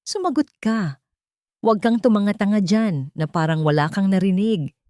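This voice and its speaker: A woman's voice: medium pitch, moderate pace, mellow, dry recording, studio quality, pitched above the performer's own voice, close to an everyday voice. A woman voicing an imperious, furious mother-in-law who scolds with contempt in a dramatic audio story.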